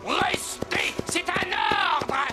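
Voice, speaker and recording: High-pitched, pompous cartoon crow. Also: female voice, drawn-out words, music in the background, exaggerated voice. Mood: outraged. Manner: pompous, indignant, shouty. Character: vain, touchy crow boasting of its singing. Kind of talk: animated series character acting.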